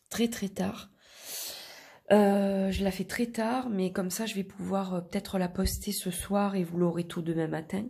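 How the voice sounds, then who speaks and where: A woman with a head cold chats her way through a tarot reading, nasal and congested. Her hoarse voice is gravelly and full of vocal fry, with a husky, rough edge. She rambles along in a relaxed way and often trails off into fillers. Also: fairly low pitch. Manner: rambling, relaxed, many fillers and self-corrections. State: stuffy nose from a cold; hoarse with a sore throat. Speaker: tarot card reader. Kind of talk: casual chatty tarot reading to camera.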